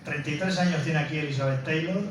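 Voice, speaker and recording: A man introduces a film in an informal lecture style, explaining with emphasis. His voice sits a little high. It is recorded from a distance in a very reverberant room. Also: fast talker. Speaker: film screening presenter. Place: indoor room, presenting to an audience. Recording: very echoey room, distant mic, faint noise.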